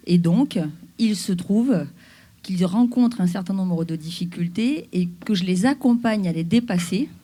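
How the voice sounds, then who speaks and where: A woman speaking on a debate panel, measured and deliberate, stressing her words as she explains her work with people she supports. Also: medium pitch, mellow. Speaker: panelist, social worker with the homeless and Roma.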